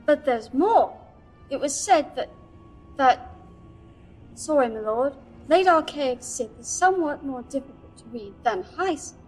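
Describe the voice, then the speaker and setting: A woman's voice: high-pitched, a little mellow, rough recording. A woman playing a nervous, eager, scholarly archive curator in a sci-fi audio drama, stammering and deferential. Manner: nervous, stammering, deferential, bossy to her assistant. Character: flustered, eager scholarly female archive curator serving a Sith. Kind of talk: fan audio drama acting.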